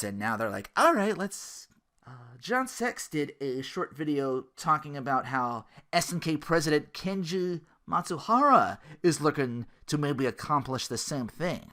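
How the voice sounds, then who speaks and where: A man's voice: mid-range voice, fairly bright tone. A man hosting a gaming podcast in a casual, rambling, slangy monologue, often acting out imagined quotes. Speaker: podcast host.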